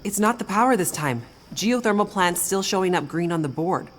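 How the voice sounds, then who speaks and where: A woman's voice: fairly low pitch, expressive, fairly quick, slight background noise, natural voice. An actress playing a young woman scientist in a video game, an earnest assistant with a dryly wry manner. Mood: focused. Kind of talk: dramatic video game acting.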